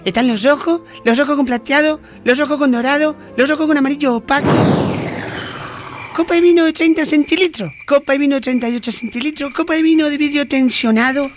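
Comic radio-play wedding planner played by a woman: Chilean, very high-pitched, chirpy, pedantic and pushy, music underneath. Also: a little fast, close to an everyday voice. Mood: businesslike patter. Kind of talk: comedic radio play acting.

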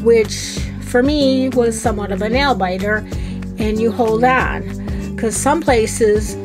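Middle-aged woman, slightly high, very slow and measured vlog narration, music clearly under her. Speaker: travel vlogger. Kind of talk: casual travel vlog narration.

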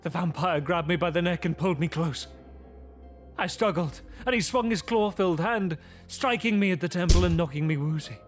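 A man's voice: high voice, moderate pace, soft background music, natural voice. A man playing a frightened young man who recounts his horror in theatrical, gothic first-person narration. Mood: grave, haunted. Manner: grave, theatrical storytelling.

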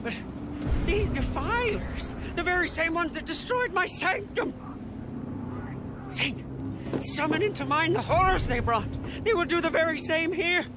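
A zealous wasteland mystic in a game who talks in archaic, fervent pronouncements. His voice sits very high. Loud noise fills the background. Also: animated, unhurried.

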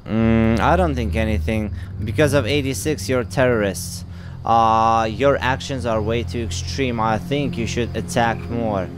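A man's voice: mid-range voice, measured pace, background noise. A man from Russia with a Russian accent, hosting a game walkthrough with playful, chatty asides and reading dialogue choices aloud. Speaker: gaming video host. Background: game music.

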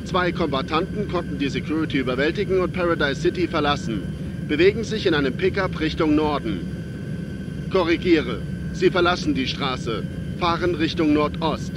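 A man's voice: a little high, normal speed, natural voice. A man playing a businesslike police mission commander in a German audio drama, giving terse military orders. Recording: clear aircraft noise behind.

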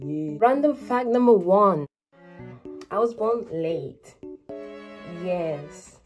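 A woman's voice: on the low side, dark tone. A teenage girl born in Spain, talking casually to camera from her small bedroom, with joking asides to herself. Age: teens. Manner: chatty, self-mocking asides. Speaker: vlogger. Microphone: phone or webcam at arm's length.

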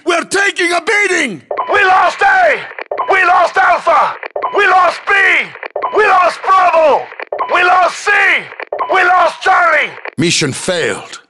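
A man's voice: very high voice, noisy background, full character voice. A man playing a militia commander as a video game announcer, giving short, clipped, commanding battle calls. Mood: urgent, fierce, then alarmed, urgent, then stern, controlled. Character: forceful militia commander barking battle updates.

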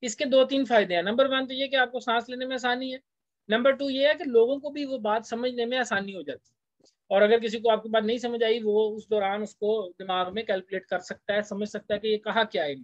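A man's voice: very high-pitched, steady tone, fairly quick, no echo. A man with a Pakistani accent giving an explanatory lecture in a conversational manner, reading out points and explaining them as a teacher does. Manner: conversational, switches between languages to translate. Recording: dull, lo-fi voice-over recording.

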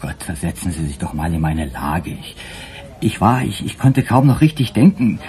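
A man's voice: sits low, very animated, moderate pace, a little mellow. A man playing a nervous, defensive witness, agitated and hesitant, in a German crime radio play. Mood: uneasy, defensive. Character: nervous, defensive male witness, working in hospitality.

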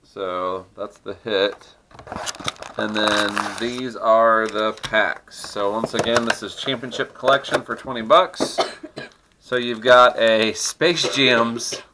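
A man's voice: fairly low pitch, takes it slowly, noisy background. A middle-aged man chatting casually and teasing while opening trading card packs at his desk at home. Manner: chatty, teasing, laughs often. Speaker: host of a card-opening channel. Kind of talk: casual card-breaking commentary.

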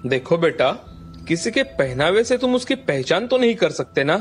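A man playing a stern old sage, grave and commanding, in an animated folktale. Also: on the high side, normal speed, slight background noise. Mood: calm, firm. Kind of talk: animated moral folktale dialogue. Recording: music bed behind the voice.

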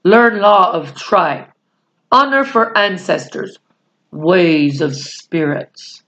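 A woman's voice: lowish voice, sing-song, takes it slowly, a bit bright, muffled recording, heavily acted. A woman voicing a tiny, proud, blunt warrior man from a storybook in grave, clipped phrases. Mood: approving.

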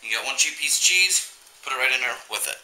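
A man's voice: mid-range voice, fast. A man in his thirties hosting a home cooking video, talking casually and rambling through the steps at his kitchen table. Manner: relaxed, rambling, with fillers. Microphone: camera mic. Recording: echoey kitchen on a camera mic.

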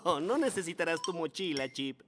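Animated, singsong cartoon schoolteacher. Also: female voice, low voice, fairly quick, faint music behind. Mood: patient, kind. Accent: Latin American Spanish. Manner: singsong, patient, addressing small children. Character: upbeat, encouraging animal schoolteacher, Mr. Tobin. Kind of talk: Spanish-language children's cartoon dub.

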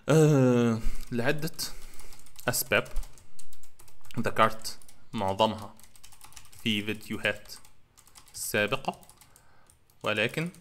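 A man in his thirties, a native Arabic speaker, talks through viewer comments in a loose, rambling way, stopping and restarting often. His voice sounds bright and forward. He is close on a headset mic in a small home room. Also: mid-range voice. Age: 30s. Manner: rambling, halting, many fillers and restarts. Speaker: channel host answering comments.

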